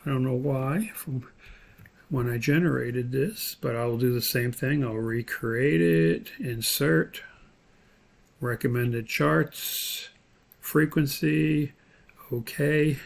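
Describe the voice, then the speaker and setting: A man explaining things informally as an instructor in an unscripted screen-share tutorial, pausing often as he goes. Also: medium pitch, gravelly. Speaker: course instructor. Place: indoors, voice-over for screen recording.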